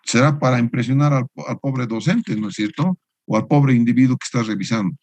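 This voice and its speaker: A man's voice: mid-range voice, a little fast, a little mellow, no echo. A man giving an online engineering lecture to university students. He teaches in a didactic way and keeps checking in with tag questions. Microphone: video call.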